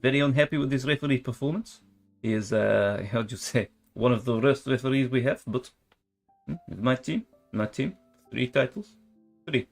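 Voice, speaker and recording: A man putting on a gruff football manager with a heavy put-on foreign accent and broken English, speaking a bit higher than his usual voice. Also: moderate pace, soft background music. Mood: grumbling.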